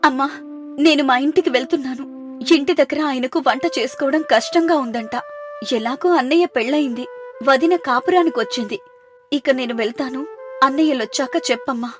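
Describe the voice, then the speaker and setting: A woman voicing a meek, sorrowful young married daughter in an animated family story. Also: high voice, fairly quick, soft background music, close to an everyday voice. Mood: tearful.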